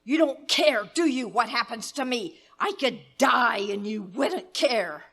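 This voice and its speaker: A woman's voice: a little high, expressive, measured pace, fairly bright tone, a little hiss or hum. A woman playing a frantic, demanding wife in a melodramatic, agitated 1930s radio thriller style, performed live on stage. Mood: hysterical, accusing.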